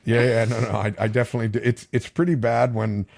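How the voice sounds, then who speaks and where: A man's voice: mid-range voice, level tone, quick pace, vocal fry, a little mellow. A middle-aged man, bilingual with Spanish as his first language, telling stories in a casual, chatty interview with many fillers and restarts. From Palm Beach County, Florida. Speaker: podcast guest, fishing captain.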